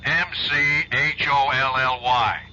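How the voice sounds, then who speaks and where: An actor playing an apologetic, businesslike American government bureau chief in a radio drama. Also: male voice, medium pitch, fairly even intonation, slow, pushed and bright. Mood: supportive. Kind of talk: 1970s radio drama acting. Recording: dull, noisy radio recording with hum.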